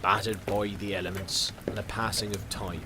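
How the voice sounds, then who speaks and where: An actor in an audio drama playing an excitable, teasing gentleman with a florid, theatrical manner. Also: fairly low pitch, normal speed, lightly acted. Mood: gallant, flattering. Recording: noisy home-recorded audio drama.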